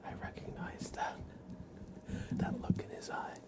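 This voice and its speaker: A man softly whispering as an ASMR livestreamer, chatting affectionately as if to a pet. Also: a little slow. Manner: affectionate baby-talk to a pet cat.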